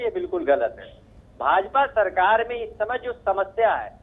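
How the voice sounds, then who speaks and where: Male debate panelist on a tinny remote line, high register, arguing as party spokesman. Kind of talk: political argument on TV debate. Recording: thin phone-like remote link.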